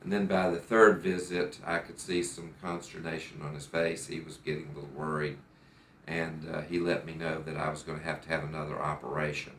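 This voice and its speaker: A very low-voiced American man gives a patient's testimonial in a deliberate, careful way and often circles back to restart a thought. He is recorded in a doctor's office, and the room sounds noticeably echoey. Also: flat delivery, a bit bright, a little hiss or hum. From United States. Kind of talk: personal testimonial.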